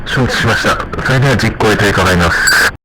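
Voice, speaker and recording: A man's voice: fairly low pitch, quick pace, natural voice. A man playing a military squad leader, speaking in clipped, urgent radio reports. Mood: brisk, formal. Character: defiant male armed squad leader on radio. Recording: very noisy radio transmission.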